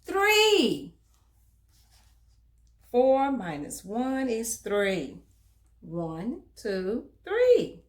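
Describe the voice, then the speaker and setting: A woman reads a children's storybook aloud as host, in an expressive, emphatic manner that speaks directly to young listeners. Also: a little high, drawn-out words, long pauses. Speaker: children's book reader and host.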